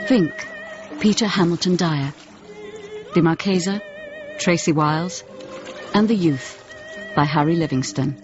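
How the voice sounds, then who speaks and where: A woman's voice: lowish voice, lo-fi sound. A woman reading scripted cast credits as a radio drama announcer. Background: music.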